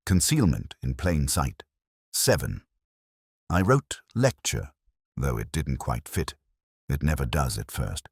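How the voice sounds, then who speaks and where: A man playing an ageing, weary police detective, narrating an audio drama in a measured, literary, wry and reflective way. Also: rumbling low, husky, no echo, studio quality, heavily acted.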